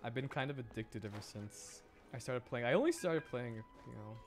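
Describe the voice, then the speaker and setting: A man's voice: medium pitch, animated, normal speed, faint music behind. A man doing casual live gameplay commentary as a streamer, talking to himself in exasperated questions. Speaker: game streamer.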